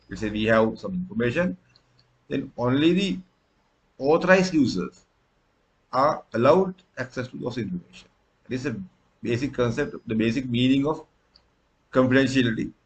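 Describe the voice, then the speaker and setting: A man from Sri Lanka, a native Sinhala speaker, giving a recorded tutorial lecture with frequent fillers and restarts. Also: medium pitch. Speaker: instructor.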